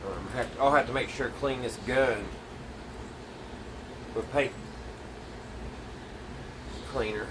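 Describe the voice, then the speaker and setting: Folksy guy talking thru a diy job, phone mic kinda far off, lots of steady noise. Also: mid-range voice, takes it slowly, pauses often. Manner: folksy, plainspoken, thinking aloud while working. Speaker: DIY vlogger. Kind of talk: casual hands-on narration to viewers. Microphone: phone camera set away from speaker. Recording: distant mic, noisy with steady background hum.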